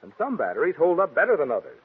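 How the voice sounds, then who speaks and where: Husky, animated vintage radio announcer. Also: male voice, a little high, rapid-fire, exaggerated voice. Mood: confident, persuasive. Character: confident, folksy male radio sponsor announcer. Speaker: radio announcer and sponsor pitchman. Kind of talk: 1940s radio advertising announcing. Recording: phone-like old 1940s radio recording.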